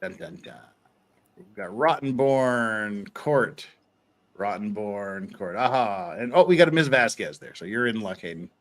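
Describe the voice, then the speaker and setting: A man hosting a livestream in a loose, jokey way. His pitch swings widely as he rambles through casual banter and dramatic readings. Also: lively intonation, a little slow. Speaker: livestream host.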